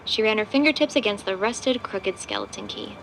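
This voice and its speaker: A woman's voice: mid-range voice, fairly quick, a bit bright, slight background noise, poor audio. A woman narrating a scripted audio drama in the third person.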